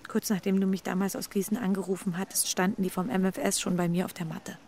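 Woman acting anxious ex-girlfriend, creaky voice, hesitant, earnest, confessional, radio drama, noisy ambience behind. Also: medium pitch, little pitch movement, quick pace, darkish tone, close to an everyday voice. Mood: anxious, confessing. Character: East Berlin ex-girlfriend, anxious, guilt-ridden, earnest. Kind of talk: intimate radio drama dialogue.

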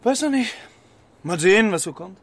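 Gravelly, cocky pigeon pal. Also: male voice, high-pitched, animated, moderate pace. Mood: breezy. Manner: casual, clipped, offhand. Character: cocky, easygoing male pigeon pal, streetwise and teasing. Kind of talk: German children's radio play acting.

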